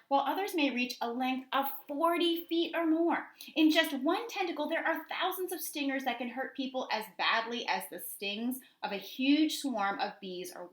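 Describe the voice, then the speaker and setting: A woman teacher reading a nature book aloud to children, enunciating clearly and expressively and putting questions to her young listeners. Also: sits high, a bit bright. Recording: echoey, roomy sound.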